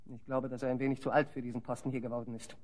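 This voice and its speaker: An actress playing a haughty, wicked forest sorceress in a German audio drama, imperious and theatrical. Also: female voice, very deep voice, level tone, racing, a little mellow. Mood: scheming, disdainful.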